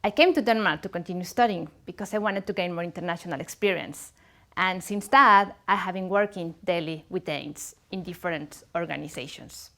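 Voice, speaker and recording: A woman from Mexico with a Mexican accent, a native Spanish speaker, giving a measured, formal instructional presentation as a seminar trainer. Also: fairly low pitch, fairly bright tone.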